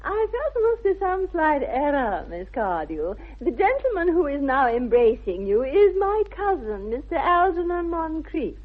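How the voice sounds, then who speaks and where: A woman's voice: high voice, very animated, unhurried, hardly any pauses. An actress playing a haughty young upper-class English society lady in a 1960s radio comedy, grand, precise and condescending. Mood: formal, pointed. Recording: thin, phone-like old radio recording.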